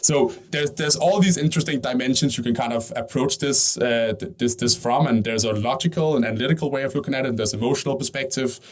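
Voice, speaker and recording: A man from Denmark, a company CEO, answering interview questions in a conversational way, thinking aloud and often tagging "right?" onto his points. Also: mid-range voice. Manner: fast, thinking aloud with frequent "right?" and restarts.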